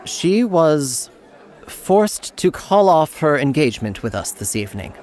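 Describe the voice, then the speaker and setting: A man's voice: a little high, sing-song, unhurried, darkish tone, a faint crowd. An actor playing an anxious, deceitful steward in an audiobook, cool and tensely deferential to his master. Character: anxious, icy, deceitful male steward serving a lord. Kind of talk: audiobook character acting.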